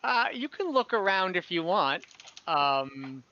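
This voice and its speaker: A young man as dungeon master on a video call, relaxed and rambling with many fillers. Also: high voice, slow. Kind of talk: running an online tabletop game. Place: home room. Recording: slightly dull video-call audio.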